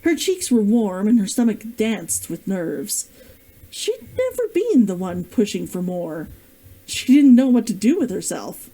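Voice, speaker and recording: A woman reading her own novel aloud in an expressive storytelling style. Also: mid-range voice, unhurried, rough recording. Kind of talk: expressive audiobook-style narration.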